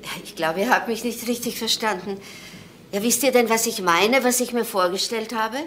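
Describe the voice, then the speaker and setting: A woman's voice: fairly high pitch, normal speed, fairly bright tone, roomy sound, a little hiss or hum, natural voice. An actress playing an earnest, wistful widowed mother of grown sons, hesitant and imploring, in a naturalistic crime drama. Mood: hurt, bewildered.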